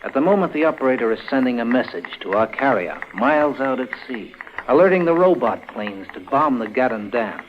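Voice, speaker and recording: A man plays a cold-blooded officer villain in a vintage radio thriller. His medium-pitched voice is clipped, formal and menacing, with the dull sound of an old recording. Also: lively intonation, a little fast, a little hiss or hum, natural voice. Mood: coldly smug. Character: cold, controlled male villain lieutenant. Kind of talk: old-time radio drama acting.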